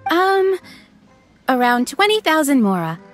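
A young inn waitress in a video game, high and very lilting. She sounds courteous and eager, like enthusiastic sales patter, with music underneath. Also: big pitch swings, a little slow. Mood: polite.

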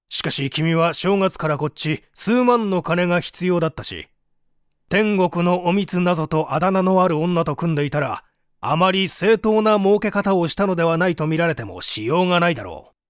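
A man's voice: on the high side, no echo, studio quality, lightly acted. A man playing a stern, relentless prosecutor with an authoritative, pressing manner in a dramatised mystery reading. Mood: sceptical. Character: stern male prosecutor, relentless, accusing interrogator. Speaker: audiobook reader.

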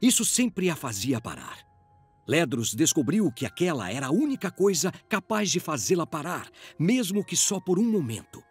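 Animated, higher-pitched Brazilian male storyteller. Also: normal speed, husky. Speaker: narrator. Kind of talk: dramatic scripted fantasy narration. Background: music.

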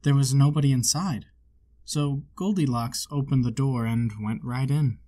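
A man reading a children's storybook aloud as narrator, with measured, expressive storytelling phrasing. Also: mid-range voice. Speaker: storybook reader.

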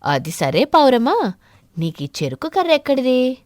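A woman voicing an earnest, puzzled crow farmer in an animated fable, troubled and questioning. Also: high-pitched, moderate pace, no room sound, studio quality.

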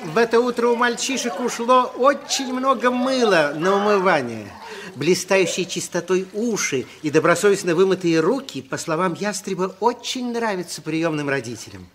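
A man narrating a children's radio play in an expressive, deliberate 1960s storytelling style. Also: high-pitched, a little hiss or hum.

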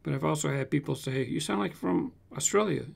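Gravelly high-voiced man from Southern California. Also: fairly quick. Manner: animated, gestures with hands, shows toy cars. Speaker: toy car collector channel host. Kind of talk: casual chat answering viewer comments. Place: home room with shelves of toy cars. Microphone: large desk condenser mic in front.

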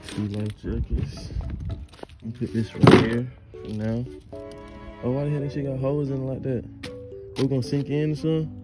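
A man's voice: mid-range voice, normal speed, pauses often, loud music behind the voice. Slangy young man vlogging outdoors. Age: young adult. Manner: slangy, excitable, nervous laughter. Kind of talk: casual vlog chat. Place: outdoors on a lake in a kayak. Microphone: phone camera held close.

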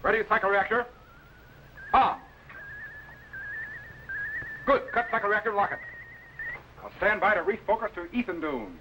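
An actor playing a brisk, commanding science-fiction captain of a time machine, in crisp 1950s television style. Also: male voice, high-pitched, expressive, pushed and bright. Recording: noisy old 1950s film soundtrack.

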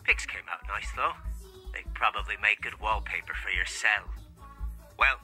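An actor playing a cocky, taunting Irish assassin in a video game, with an Irish accent and a sly drawl, heard on a recorded message. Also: male voice, lowish voice, normal speed, pushed and bright. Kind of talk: video game cutscene acting. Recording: recorded message with music behind.

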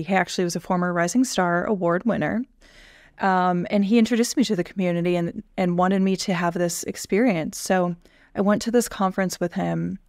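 A woman in her thirties, a guest on a video call interview, telling a story from her early career in a lively, chatty way. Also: on the low side, creaky, no room sound, clean studio sound. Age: 30s. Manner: lively storyteller, quick asides. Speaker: guest, relationship manager. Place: bedroom at home. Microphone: headphones with a desk mic on a video call.

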